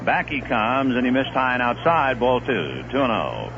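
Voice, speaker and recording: A man calling a baseball game on the radio, chatting between pitches and reeling off statistics. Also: moderate pace. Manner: lively, conversational, reeling off statistics. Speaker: play-by-play announcer. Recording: muffled 1970s radio broadcast with hiss.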